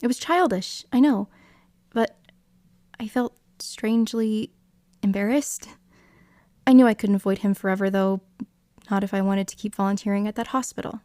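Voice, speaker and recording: A woman's voice: medium pitch, fairly even intonation, normal speed, pauses often, warm tone, no echo, clean studio sound, close to an everyday voice. A young woman playing a shy, apologetic female hospital volunteer in an audio drama, with a hesitant manner. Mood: reflective. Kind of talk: audio drama acting with reflective first-person narration.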